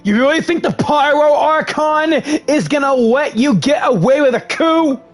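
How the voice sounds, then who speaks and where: A man's voice: extremely high pitch, normal speed. A man voicing an earnest young male ally in a video game, talking with urgency. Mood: urgent. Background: game score music.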